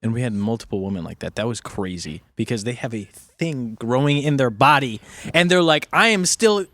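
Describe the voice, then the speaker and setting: A man's voice: medium pitch, lively intonation, moderate pace, a bit bright, no echo. A man in his twenties, a podcast co-host, chatting in a laid-back way and saying dude and man. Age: 20s. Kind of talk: casual chat.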